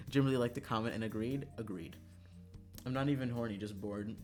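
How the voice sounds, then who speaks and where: A man livestreaming a visual novel, moving between casual, profane, joking chat and reading the game text aloud, quick to laugh. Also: mid-range voice, a little mellow, soft background music. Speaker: streamer playing a visual novel.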